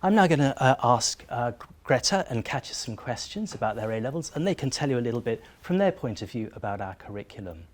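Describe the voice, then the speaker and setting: A man's voice: medium pitch, sing-song, fast talker, few pauses. A man interviewing his students for a school video, friendly and conversational, with frequent small fillers.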